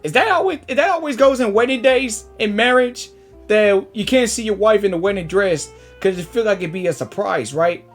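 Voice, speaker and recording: A woman's voice: mid-range voice, lively intonation, moderate pace. A woman giving casual reaction commentary on a game, rambling and exclamatory as she repeats herself. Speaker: reaction video host. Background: game music.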